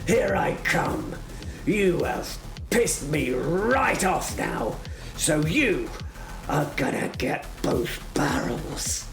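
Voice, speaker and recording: A man throws his voice up into a furious sorcerer in a horror radio drama, raging and bellowing curses. The voice is gravelly and leaps wildly in pitch, and a roomy echo hangs over it while dramatic music plays behind. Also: animated, drawn-out words, heavily acted. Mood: enraged. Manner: shouting, snarling, raging. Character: furious male war sorcerer hurling curses skyward.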